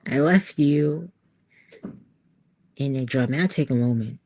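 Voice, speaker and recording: A woman artist chatting informally to her viewers as she works, halting and thinking aloud. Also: a bass voice, warm tone, low-quality recording. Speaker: author-artist hosting a creative livestream. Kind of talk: casual chat to viewers while working on art.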